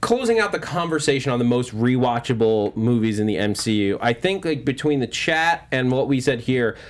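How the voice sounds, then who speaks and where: A man's voice: mid-range voice, expressive, brisk. A man hosting a panel show, chatting informally and jokily in a loose, rambling way. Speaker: host of a movie news panel show. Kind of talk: casual panel discussion of comic-book films.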